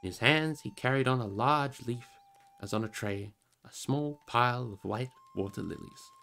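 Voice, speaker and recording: A man narrating a fantasy story in an expressive audiobook style. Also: medium pitch, normal speed, gravelly. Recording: music behind the voice.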